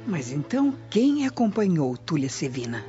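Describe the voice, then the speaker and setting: An actress playing a jealous, scheming Roman wife in a radio drama, conspiratorial and probing. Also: female voice, low voice, sing-song, moderate pace, dark tone. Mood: suspicious, intriguing. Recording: dull lo-fi radio, faint music.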